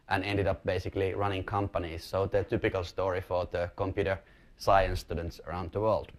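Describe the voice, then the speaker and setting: Low-voiced man with a Finnish accent. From Finland. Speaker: company chief executive giving a talk. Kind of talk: informal conference presentation.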